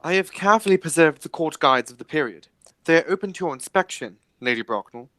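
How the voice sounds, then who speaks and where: A man playing a formal gentleman guardian who holds his temper, in an amateur radio play. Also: fairly high pitch, expressive, fast, no echo. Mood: restrained indignation.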